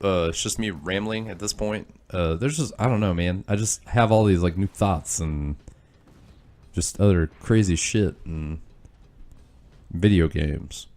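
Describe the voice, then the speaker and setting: A man's voice: low voice, unhurried, a little mellow. A young man with a stuffy, nasal voice, rambling loosely through let's-play commentary with lots of fillers and self-deprecating asides. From Kansas. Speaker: gaming channel host.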